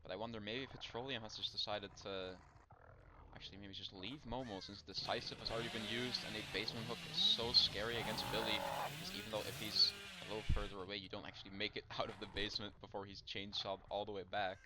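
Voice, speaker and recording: A man commentating a live esports match, analytical but breaking into excited outbursts. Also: fairly low pitch, quick pace. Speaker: esports caster. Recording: loud noise on a stream mic.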